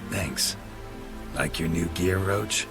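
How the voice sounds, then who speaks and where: A dry, unflappable fantasy game hunter with a very low voice. He talks in clipped, deadpan bits with music playing behind him. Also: takes it slowly, vocal fry, full character voice. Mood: dry, wry. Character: laconic, world-weary monster hunter, dry and unflappable. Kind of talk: video game character acting.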